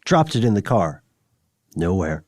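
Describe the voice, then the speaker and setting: Lowish-voiced man hosting a podcast, dry sound. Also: sing-song, a little slow, darkish tone, no room sound. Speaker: podcast co-host. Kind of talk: conversational podcast hosting and reading listener letters.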